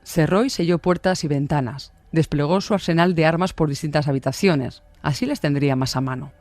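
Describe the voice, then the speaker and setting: A man's voice: a little high, a little fast, a little mellow, no echo. A man delivering a dramatic, scripted true-crime narration. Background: music.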